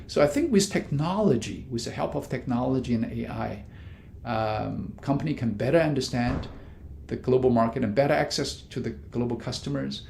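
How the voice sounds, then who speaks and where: A man, a business professor, giving measured answers in an interview with frequent fillers and restarts. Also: vocal fry, a little mellow. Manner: many fillers and restarts, non-native grammar; measured, explanatory. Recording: echoey room with faint rumble.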